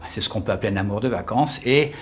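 A French man in his fifties, a tour guide answering interview questions casually, pausing often with fillers and restarting his phrases. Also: on the low side, a little hiss or hum. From France. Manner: hesitant, with many fillers and restarts.

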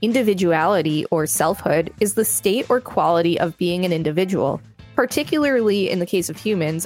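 A woman co-hosting a podcast, reading an article aloud in a measured way with light commentary. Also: lowish voice, a little mellow.

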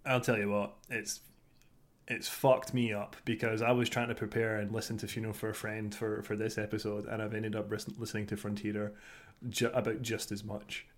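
A man's voice: on the low side, little pitch movement, normal speed. A man co-hosting a podcast, chatting casually and bluntly with casual swearing. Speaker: podcast co-host.

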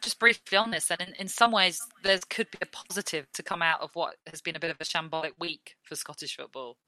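Woman podcast host, bright punchy voice, bit low, dry mic. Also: fairly even intonation, brisk, dry recording, poor audio. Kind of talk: presenting, scripted links and discussion.

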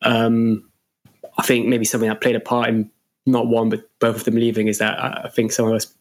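A man's voice: mid-range voice, completely flat delivery, a little fast, a little mellow. A man co-hosting a casual football podcast, sounding a bit nasal from a cold. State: stuffy nose from a cold. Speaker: podcast co-host.

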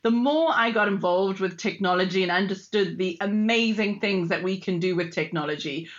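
A woman's voice: medium pitch, muffled recording. Enthusiastic South African woman panelist. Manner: enthusiastic, animated storytelling. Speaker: panelist, tech industry leader. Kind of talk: panel discussion.

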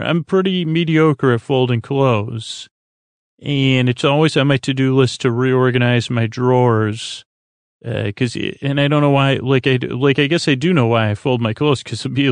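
A middle-aged man hosts a bedtime podcast meant to help people drift off. His voice has plenty of creaky fry and sits at an ordinary male pitch, recorded very dry. He meanders haltingly, breaking off and restarting as he wanders from one tangent to the next. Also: dry recording. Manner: meandering, halting, full of tangents and self-interruptions. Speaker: podcast host. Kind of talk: rambling bedtime monologue to help listeners fall asleep.

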